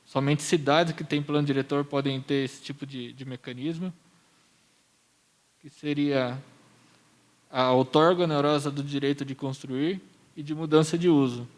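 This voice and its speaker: A Brazilian man giving a measured, explanatory presentation at a public hearing. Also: on the high side, steady tone. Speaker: municipal planning presenter.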